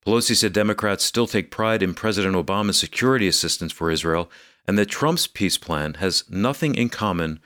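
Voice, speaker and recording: A man co-hosting a religious radio program, reading scripted announcements and news in a measured, formal way. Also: low-pitched, fairly bright tone.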